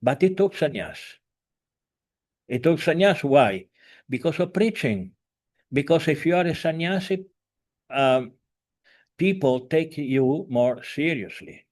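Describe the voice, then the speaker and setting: A man in his seventies, a spiritual teacher, giving an earnest, halting talk over a video call, with many fillers, restarts and non-native grammar. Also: fairly high pitch, animated, darkish tone. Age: 70s.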